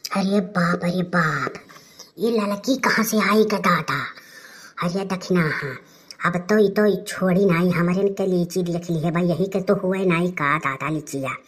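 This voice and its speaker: A woman's voice: fairly low pitch, close to an everyday voice. A woman playing a brazen, combative elder village sister in a comedy cartoon, earthy and blustering, with an Awadhi accent. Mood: alarmed. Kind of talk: rustic comedy cartoon dialogue.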